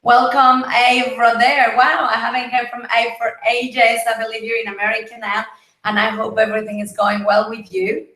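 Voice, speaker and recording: Woman from Mexico, husky, chatty host, high-ish voice, roomy echo. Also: little pitch movement, moderate pace, bright, forceful tone. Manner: chatty, greets viewers by name. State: husky, voice partly lost. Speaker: livestream host. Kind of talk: casual live broadcast chat. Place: home, Gold Coast.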